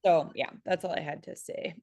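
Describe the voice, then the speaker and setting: A woman's voice: fairly low pitch, normal speed, creaky, darkish tone, slightly rough. A woman speaking conversationally as a panelist on a video call, often correcting herself and checking in with "right?".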